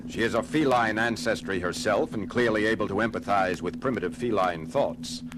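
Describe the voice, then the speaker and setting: An actor playing a logical, formal alien science officer in a science fiction audio drama, with precise wording. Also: male voice, lowish voice, normal speed, noisy background, close to an everyday voice. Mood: formal, controlled. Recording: very loud music behind the voice. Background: loud dramatic music.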